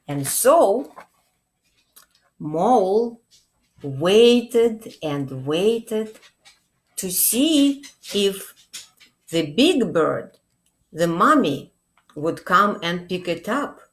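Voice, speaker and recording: A woman in her forties, a children's librarian, telling a picture-book story to young children in a deliberate, expressive way with check-in questions. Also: medium pitch. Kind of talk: read-aloud storytime addressed to young children. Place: small indoor room, plain wall.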